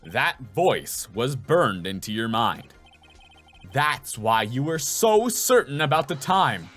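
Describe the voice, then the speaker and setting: A man voicing an earnest, excitable young male defense attorney in a video game dub, with emphatic theatrical delivery. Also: medium pitch, slow, soft background music, heavily acted. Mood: confident, pressing.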